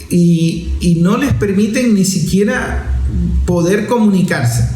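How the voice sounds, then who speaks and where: A Venezuelan man with a high-pitched voice presents opinion commentary in a roomy, echoing space. He speaks emphatically, building points through lists and rhetorical questions. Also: darkish tone. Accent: Venezuelan Spanish. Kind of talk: opinion commentary on media and politics.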